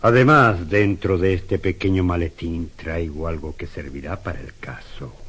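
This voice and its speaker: An actor in a radio drama playing a mysterious, courteous film actor with a formal, suave, deliberate manner. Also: male voice, deep voice, a bit bright, slightly rough. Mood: suave, persuasive. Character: mysterious, courteous male film actor offering to pose as devil.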